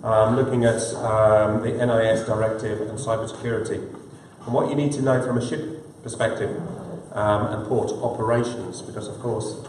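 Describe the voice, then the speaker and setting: A man's voice: lowish voice, steady tone, normal speed, a little mellow, a little hiss or hum. A man moderating a live conference session, speaking loosely with frequent hesitations. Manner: loose, hesitant with many fillers. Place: large conference hall. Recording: echoey hall, distant mic.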